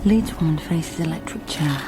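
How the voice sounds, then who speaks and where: A woman's voice: low voice, moderate pace, over music, close to an everyday voice, poor audio. An actress playing a wry, guarded woman investigator in a naturalistic radio drama. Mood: absorbed, murmuring. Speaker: lead actor in a radio drama.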